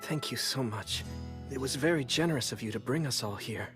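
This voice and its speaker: A man's voice: medium pitch, a little fast, over music, lightly acted. A man voicing a polite, composed teenage boy official in an English anime dub, with formal, earnest speech. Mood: polite, subdued.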